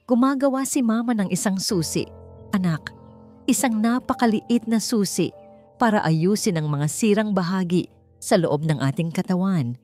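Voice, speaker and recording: A woman narrator plays a tired yet hopeful mother scientist in an audio drama story. She explains gently and earnestly over music. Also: medium pitch, normal speed, natural voice. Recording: music under the voice.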